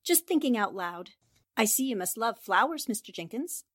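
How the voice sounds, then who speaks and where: An actress playing a determined, inquisitive newspaper reporter in a 1940s radio drama, with a probing manner. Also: female voice, fairly high pitch, very animated, moderate pace. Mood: casual, polite.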